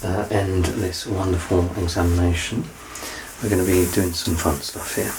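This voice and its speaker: A man playing a doctor in an ASMR medical exam roleplay, soft-spoken and reassuring, with gentle teasing and close whispered passages. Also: deep voice, steady tone, moderate pace, noisy background. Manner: slips into unvoiced whispering up close.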